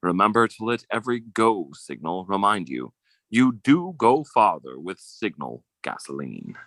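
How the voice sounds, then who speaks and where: A man playing a brisk sponsor announcer reading an old-time radio advertisement. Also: low-pitched, very animated, fairly bright tone, dry recording, exaggerated voice. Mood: upbeat, selling.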